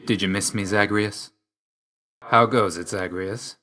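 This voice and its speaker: An actor playing an aloof, weary young god of death in a video game, speaking in a restrained, clipped and solemn way. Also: male voice, fairly low pitch, steady tone, unhurried, pushed and bright. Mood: cool, resolute.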